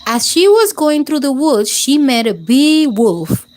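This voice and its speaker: A woman reads a bedtime story aloud for children, slow and unhurried, with a gentle, clearly expressive delivery. Her voice sits a little high, and a faint background hiss runs under the recording.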